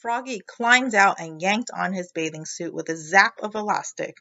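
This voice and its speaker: A woman teacher reading a picture book aloud to children, explaining expressively and pausing to check understanding with questions. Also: fairly low pitch, creaky, pushed and bright, dull audio.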